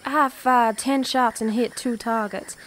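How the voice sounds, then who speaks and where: An actress playing a polite young assistant new to shooting, with a dry wit. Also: female voice, fairly high pitch, steady tone, unhurried, fairly bright tone. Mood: wry. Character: earnest young woman assistant, new to guns.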